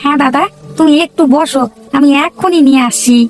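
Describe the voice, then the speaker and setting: A woman's voice: high-pitched, fairly quick, soft background music, natural voice. A woman voicing a cheeky, enterprising village boy who hawks food in a cartoon folktale, chatty and insistent. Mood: friendly. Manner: chatty, insistent, sing-out hawker calls. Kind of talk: Bengali cartoon folktale voice acting.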